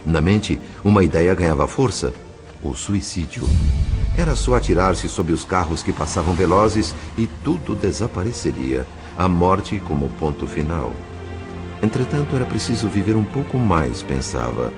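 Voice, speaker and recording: A man's voice: low voice, very animated, moderate pace, music in the background. A man with a Brazilian accent giving a solemn, dramatic narration of a scripted literary story.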